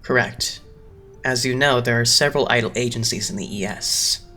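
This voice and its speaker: A man plays a young male executive in an anime game dub. He is haughty and cool, delivering formal lectures with open condescension at a middling pitch. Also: moderate pace, music in the background, natural voice. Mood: businesslike. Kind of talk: anime game fandub acting.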